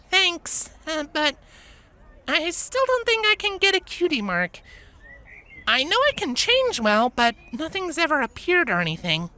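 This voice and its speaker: A woman's voice: high voice, sing-song, a little slow, bright, forceful tone, heavily acted. A woman voicing a shy, bookish young changeling princess filly in a fan fiction reading, with a hesitant, earnest manner. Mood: doubtful, modest.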